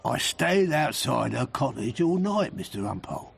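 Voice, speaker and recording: An actor with an English accent playing a dogged working-class private investigator in a radio drama, blunt, colloquial and rough-voiced. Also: male voice, medium pitch, big pitch swings, normal speed, heavily acted. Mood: matter-of-fact. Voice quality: gravelly, rough. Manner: blunt, colloquial, matter-of-fact.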